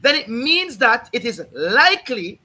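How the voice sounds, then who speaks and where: A hoarse man hosting a livestream, explaining a court ruling in an emphatic, repetitive, lecturing way while addressing his viewers directly. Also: extremely high pitch, big pitch swings, a little slow, sharp tone. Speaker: host of a legal rights livestream. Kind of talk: livestreamed legal commentary.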